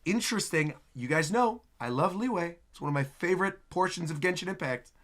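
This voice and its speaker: A man giving casual reaction commentary as a video host, excitable and repeating words for emphasis. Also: high-pitched, a little fast.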